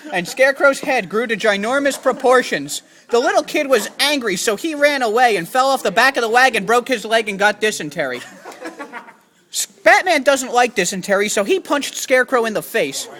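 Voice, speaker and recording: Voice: medium pitch, fairly bright tone. A person improvising an absurd, profane comic story in a mock storybook narration.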